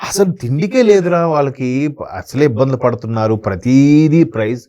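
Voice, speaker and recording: A man's voice: medium pitch, normal speed, warm tone, lo-fi sound. A man speaking as a news analyst in an interview, emphatic and colloquial, with sayings and rhetorical questions. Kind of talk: conversational news commentary in an interview.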